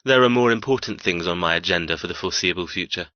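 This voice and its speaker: A man playing a cold, arrogant young heir in an audiobook, threatening and deliberate. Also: low voice, bright, forceful tone, dry recording, lo-fi sound. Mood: cold menace. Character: cold menacing heir, cruel and possessive brother. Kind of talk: dramatic audiobook character acting.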